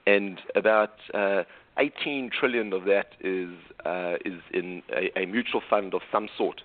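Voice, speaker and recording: A middle-aged South African man with a South African accent, an author and investment coach calling in by phone, talking in a halting way with many restarts. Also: low voice. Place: calling from home in Australia. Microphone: telephone. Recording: tinny phone line.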